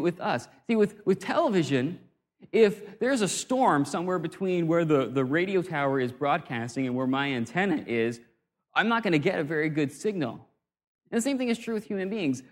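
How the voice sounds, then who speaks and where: A man giving an informal talk on communication, conversational and self-deprecating, often restarting his phrases. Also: fairly high pitch. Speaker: speaker on communication. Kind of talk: informal talk or sermon-like lecture.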